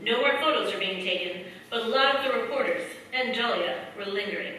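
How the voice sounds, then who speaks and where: A woman's voice: a little high, fairly quick, pushed and bright. An actress playing an earnest wartime heroine who narrates her own adventure in a dramatic, scripted radio play style. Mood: composed, recounting. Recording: echoey stage, distant mic, faint noise.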